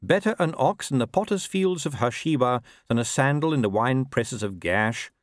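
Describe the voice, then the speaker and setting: Man playing a pious, high-voiced constable. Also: expressive, normal speed, no room sound, studio quality, close to an everyday voice. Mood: earnest. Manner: earnest, preachy. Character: pious, helpful male constable who quotes scripture.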